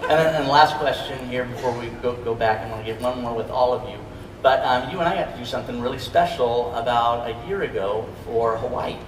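A man's voice: medium pitch, brisk. American male moderator in an echoey hall. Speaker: panel moderator. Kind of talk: casual onstage interviewing. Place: large indoor convention stage, recorded from audience. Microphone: podium mic through PA, distant camera. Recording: distant echoey hall, crowd noise.

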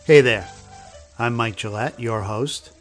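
A man's voice: medium pitch, slow, faint music behind. A man hosting and reading scripted history narration. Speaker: podcast host.

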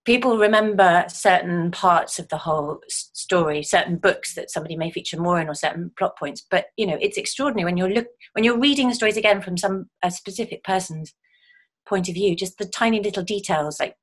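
A middle-aged woman speaks in a fairly low voice, answering questions reflectively. She talks as an actor being interviewed over a video call from home. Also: brisk. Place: indoors at home.

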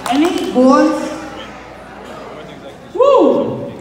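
A man's voice: very high-pitched, big pitch swings, extremely slow, darkish tone. A young man from Goa with a Goan Indian English accent, doing live stand-up comedy in a chatty storytelling way, often checking in with the crowd. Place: large community hall stage. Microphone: handheld microphone through hall speakers. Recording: echoey hall, taken from far off with crowd. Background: audience laughing and cheering.

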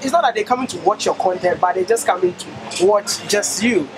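A man's voice: high voice, brisk. A man in his twenties with a West African accent, chatting casually and emphatically as he gives advice. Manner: emphatic, repeats himself for emphasis. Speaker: guest vlogger. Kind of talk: casual chat, giving advice. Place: open-air restaurant patio. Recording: music behind outdoor talk. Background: music.

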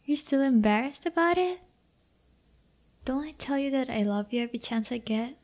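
A woman's voice: sits high, lively intonation, darkish tone. A woman playing a playful, crafty but affectionate teenage delinquent girlfriend in an intimate roleplay audio, teasing and coaxing. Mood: tender teasing. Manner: teasing, coaxing, drawn-out delivery.

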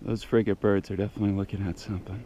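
Low-key man narrating from a kayak. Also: very fast, a little hiss or hum. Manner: quiet, low-key running commentary, bursts of excitement. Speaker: fishing vlogger. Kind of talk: casual first-person narration while fishing. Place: kayak out on open sea. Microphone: action camera mounted on the kayak.

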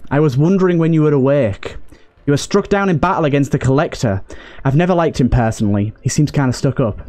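A haughty undead emperor, voiced by a man speaks condescendingly to his creation in a game roleplay. The tone is conversational and self-satisfied, at a medium pitch with music underneath. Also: warm tone, lightly acted. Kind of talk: roleplay diary reading for a game series.

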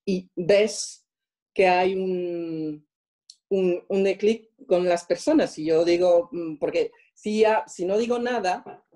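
A woman with a French accent answers interview questions in a mellow, slightly low voice. She speaks reflectively and haltingly, often stopping to hunt for the next word. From France. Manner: halting, searching for words. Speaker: interviewed guest.

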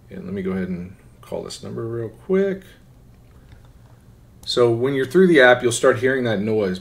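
A man giving an informal, conversational software tutorial, often checking in with a quick "right?". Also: on the low side. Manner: conversational, instructional, often tagging phrases with "right?". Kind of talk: informal screen-share walkthrough. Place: voice-over for a screen recording.

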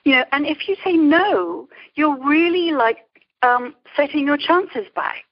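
A woman's voice: high voice, animated, unhurried. A middle-aged woman speaking conversationally as a guest in a podcast interview, over a phone-like remote line. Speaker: interview guest, creativity coach.

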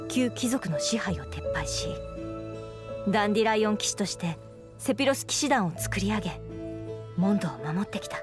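A woman's voice: medium pitch, expressive, normal speed, music in the background, lightly acted. Woman playing an earnest, noble young lady knight. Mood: earnest, reverent. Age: young adult. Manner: formal, composed, earnest. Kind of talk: Japanese anime game voice acting.

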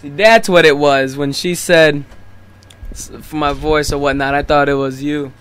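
A man's voice: on the high side, fairly even intonation, moderate pace, fairly bright tone, smooth. A man from the US Midwest, a radio host, chatting casually in the studio.

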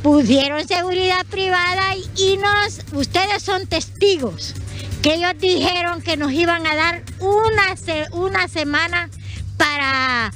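A woman's voice: very high-pitched, measured pace, a bit bright, soft background music. A middle-aged Honduran woman with a Honduran accent, an evicted farmer speaking into a reporter's handheld mic by a rural roadside, pleading and indignant. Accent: Honduran Spanish. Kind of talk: emotional street interview.

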